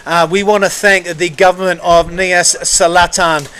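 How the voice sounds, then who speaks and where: A man's voice: high-pitched, little pitch movement, moderate pace, fairly bright tone, clear, resonant tone. A man hosting live surf coverage in a casual, matey, enthusiastic way. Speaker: surf broadcast commentator and host. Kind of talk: casual live sports commentary.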